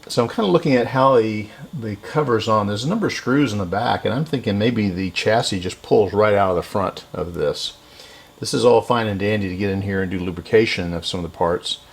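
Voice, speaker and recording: A man giving casual, unscripted narration of hands-on repair work, halting and thinking aloud as he goes. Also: fairly low pitch. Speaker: repair video host.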